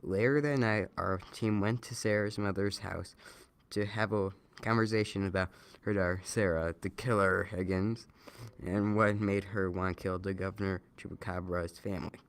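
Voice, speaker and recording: A man with a clean, smooth, slightly low voice reads a scripted news report. He goes haltingly, sometimes repeating words as an amateur reader would. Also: steady tone.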